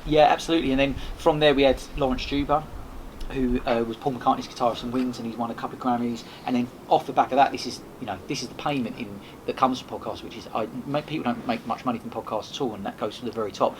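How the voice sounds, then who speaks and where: A man telling rambling anecdotes in a casual podcast chat, animated and full of restarts. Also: mid-range voice, quick pace, few pauses. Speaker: podcast host and guest. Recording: noisy background.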